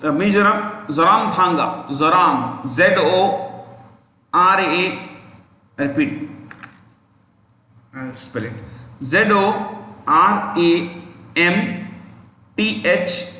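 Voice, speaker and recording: A man's voice: fairly high pitch, bright, forceful tone. Man dictating notes in a ringing classroom. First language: not Hindi. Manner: spells out words letter by letter, repeats each point. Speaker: exam coaching teacher. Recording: echoey room with long reverb.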